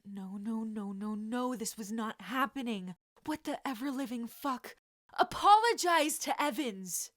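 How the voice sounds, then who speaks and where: A woman's voice: a little high, expressive, a little slow, no room sound, clean studio sound. A woman voicing a brash, lovestruck teenage wizard boy in an audiobook-style story, flustered and frantic. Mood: outraged. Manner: shouting, stammering, frantic.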